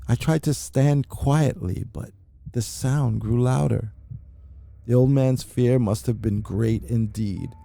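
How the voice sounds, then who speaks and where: A man giving a theatrical, deliberate first-person dramatic reading of a horror story, as an anxious, mad murderer confessing. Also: medium pitch, vocal fry, warm tone, no echo. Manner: theatrical, deliberate, with emphatic repetitions. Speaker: amateur narrator reading a horror short story.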